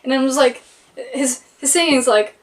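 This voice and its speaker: A woman's voice: sits high, moderate pace. A young woman chatting casually and informally in a home vlog, with a giggly manner. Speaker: vlogger and musician.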